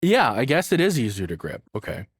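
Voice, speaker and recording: A man's voice: mid-range voice, wildly swooping pitch, fairly quick, husky, no room sound. Male reaction streamer, mid-range voice, unscripted and rambling, foul-mouthed joking, very dry sound. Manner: rambling, sweary, jokey. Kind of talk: casual off-the-cuff reaction commentary.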